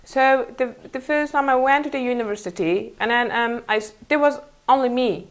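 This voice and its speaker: A young woman from Mongolia with a Mongolian accent, answering casually in halting, self-correcting non-native English. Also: on the high side. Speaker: interview guest, international school teacher.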